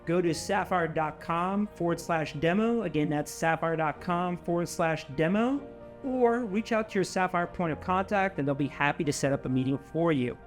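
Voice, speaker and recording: Higher-pitched man, emphatic company presenter. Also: normal speed, faint music behind. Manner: emphatic, repeats key phrases. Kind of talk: scripted product announcement.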